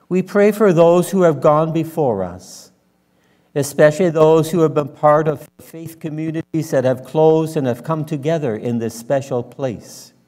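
A man in his sixties, a minister preaching and praying in a measured, solemn, deliberate manner. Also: on the high side, warm tone. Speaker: minister leading worship. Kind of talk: sermon and public prayer. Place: church sanctuary, at the front and pulpit. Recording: reverberant church hall.

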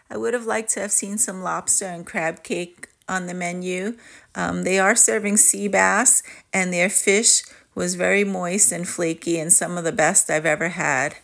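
A woman narrates a travel vlog as a casual, personal review. Her voice sits fairly low for a woman and has a clear creaky fry running through it. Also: fairly bright tone. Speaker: travel vlogger. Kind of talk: casual voice-over review of a cruise trip.

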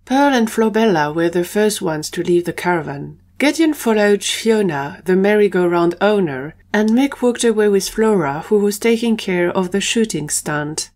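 A woman's voice: medium pitch, lively intonation, normal speed, few pauses, darkish tone. A woman reading scripted narration as the narrator of an audio story.

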